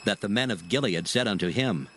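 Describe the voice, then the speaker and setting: A man narrating dramatized scripture in a solemn, formal, measured storytelling manner. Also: on the low side, expressive, sharp tone, slight background noise, low-quality recording.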